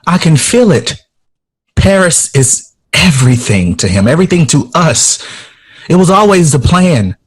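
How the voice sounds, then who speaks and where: A man's voice: fairly high pitch, very animated, measured pace, breathy edge, heavily acted. An actor playing a heartbroken, wistful male jazz composer in a 1960s audio drama.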